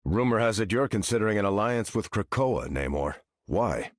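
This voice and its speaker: An actor playing a grave, protective mutant leader in a video game, with a measured, serious manner. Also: male voice, fairly low pitch, expressive, no echo, studio quality, close to an everyday voice.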